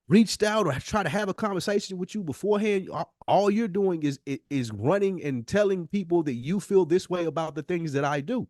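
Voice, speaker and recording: A man's voice: high voice, darkish tone, dry recording, studio quality. A man co-hosting a podcast in a casual debate, blunt and challenging, slangy, pressing his case with rhetorical questions. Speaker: podcast co-host.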